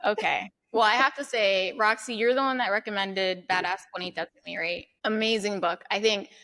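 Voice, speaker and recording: Woman author guest, bright forceful tone, open and frank. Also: medium pitch, steady tone, normal speed, low-quality recording. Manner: candid, laughs easily. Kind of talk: casual interview chat.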